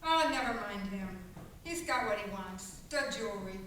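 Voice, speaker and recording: A woman's voice: mid-range voice, lively intonation, normal speed, a bit bright, close to an everyday voice. A woman playing a prim, firm, well-to-do lady in a radio play, polite and no-nonsense. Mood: urgent. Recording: distant mic, hissy echoey room.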